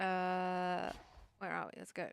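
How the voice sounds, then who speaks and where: A woman's voice: on the low side, steady tone, slow, vocal fry, clean tone. A woman chatting casually on a gaming livestream, thinking aloud as she talks herself through choices. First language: English. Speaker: streamer, therapist answering questions.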